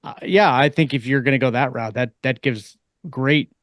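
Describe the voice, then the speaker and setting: A man in a casual podcast discussion, halting and emphatic, repeating words as he explains his point. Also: medium pitch, no room sound, clean studio sound. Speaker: podcast panelist.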